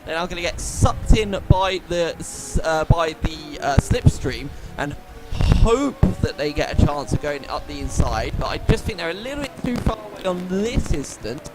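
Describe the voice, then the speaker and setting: A man with a high, lively voice calls a sim race, a little nasal and posh in sound, chatting through racing lines as he goes. Loud engine roar and music sit under his voice, and his pitch swings up and down freely. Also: moderate pace, poor audio. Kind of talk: live race commentary.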